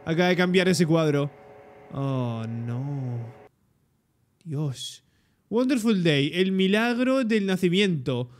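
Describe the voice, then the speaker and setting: A young man with a Peninsular Spanish accent giving excitable reaction commentary and translating aloud as he goes. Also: on the high side, slow. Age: young adult. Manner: excitable, interjecting. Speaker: reaction video host. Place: indoor room, webcam reaction setup. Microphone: desktop streaming microphone.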